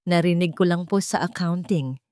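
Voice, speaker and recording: A deferential, chatty nurse acted by a woman narrator with a Filipino accent, in a dry recording. She is admiring and gossipy, speaking in story dialogue at a lowish pitch. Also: normal speed, a little mellow, dry recording, close to an everyday voice. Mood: admiring, confiding.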